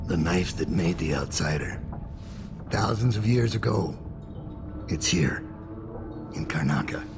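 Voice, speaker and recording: An actor playing a weary, grave old assassin mentor in a video game, speaking deliberately with a gravelly voice. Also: male voice, low-pitched, animated, music in the background. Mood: grave, urgent. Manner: grave, deliberate, in short phrases. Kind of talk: dramatic video game dialogue.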